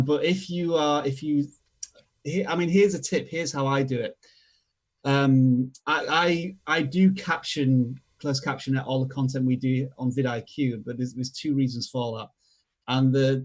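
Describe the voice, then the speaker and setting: Yorkshire male guest, casual and halting, mid-range voice. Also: medium pitch. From England. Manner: relaxed, hesitant with many fillers, self-deprecating. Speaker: guest expert. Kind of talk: casual online show chat.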